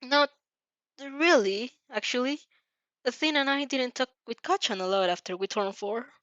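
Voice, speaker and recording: A woman's voice: sits high, normal speed, fairly bright tone, dry recording, pitched above the performer's own voice. A woman plays a sheepish teenage schoolboy from an anime-style audio story. He is shy and hesitant, stammering and easily flustered, on a close, dry but slightly dull recording.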